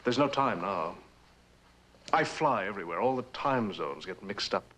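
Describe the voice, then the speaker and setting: A man's voice: lowish voice, expressive, normal speed, fairly bright tone. An actor playing a wealthy, eccentric, world-weary man, talking in a wry, rambling, theatrical way in mid-century television drama style. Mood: wistful, expansive. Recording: noisy old TV drama soundtrack.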